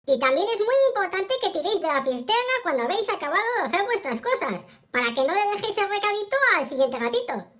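A performer voicing a perky young cartoon tomcat who playfully teaches kids in Spanish from Spain, with a squeaky, childlike voice. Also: sing-song, fast talker, fairly bright tone, slight background noise, exaggerated voice. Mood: cheerful, instructive. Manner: playful, instructive, addressing kids. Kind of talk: children's game video narration.

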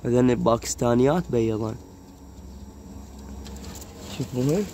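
A man with an Iraqi Arabic accent talks casually to his viewers, his voice hoarse, at a middling pitch. He films his rooftop pigeons on a phone held in his hand. Faint outdoor noise and insects sit behind him. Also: normal speed, mellow. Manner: chatty, talks to the viewers while filming. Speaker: pigeon keeper vlogger. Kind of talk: casual vlog. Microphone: phone camera held while walking.

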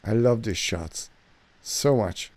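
Film reaction host, Hungarian accent, lowish voice, eager, rambling, self-correcting. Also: male voice, slow, dry recording. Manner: chatty, excited, self-correcting. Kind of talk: casual reaction commentary. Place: small dark home studio. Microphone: studio mic on boom arm, headphones.

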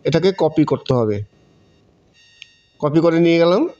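A man's voice: on the high side, animated, unhurried, darkish tone. A Bengali man giving step-by-step tutorial narration in a repetitive, instructional manner. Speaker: tutorial presenter. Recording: dull, muffled lo-fi voice-over.

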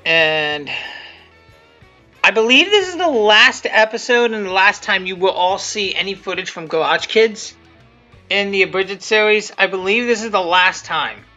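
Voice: medium pitch, lively intonation, measured pace. A casual commentator talking off the cuff about their own video, rambling, often repeating and rephrasing, with some mild swearing. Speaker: creator giving commentary on own fan video. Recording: dull lo-fi audio with music behind. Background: music and quiet cartoon soundtrack.

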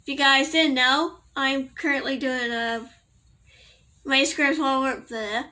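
A young woman talks to her laptop camera in a small bedroom, her voice high and bright with a slight allergy rasp. She rambles, giggles and corrects herself as she goes. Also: steady tone, a little slow. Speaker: vlogger. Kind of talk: casual product review to camera.

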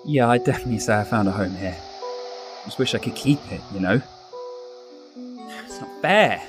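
An actor playing a self-deprecating, sheltered young exchange student with an English accent, joking and apologetic, in a radio drama. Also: male voice, on the low side, expressive, moderate pace, over music, lightly acted. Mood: wistful, frustrated.

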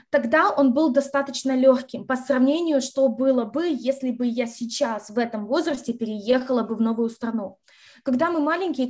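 A young woman from Russia, an interview guest on a video call from home, telling lively stories and slipping in English words. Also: high voice. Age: young adult. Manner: lively storyteller, acts out quotes, mixes in English words. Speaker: interview guest, university graduate. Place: bright room at home.

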